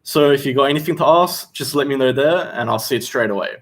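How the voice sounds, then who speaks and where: A young Australian man talks easily through a casual livestream question session. His voice sits at a middle pitch, and his delivery is loose and conversational as he answers questions. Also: quick pace. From Western Australia. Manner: relaxed and chatty, reads viewer questions aloud. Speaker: chef hosting a Q&A livestream. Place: seated indoors.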